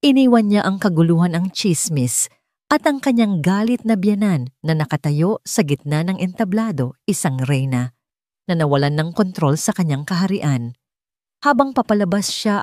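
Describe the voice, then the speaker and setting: A woman's voice: lowish voice, darkish tone, no room sound, studio quality. A woman with a Filipino accent reading a dramatic scripted story as a narrator.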